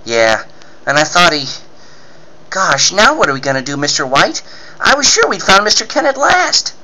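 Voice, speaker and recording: A man's voice: high-pitched, big pitch swings, moderate pace, bright, forceful tone, pitched above the performer's own voice, heavily acted, low-quality recording. A man voicing an earnest, pleading boy cub reporter in the manner of a 1940s radio serial. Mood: dejected. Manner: gushing, pleading exclamations.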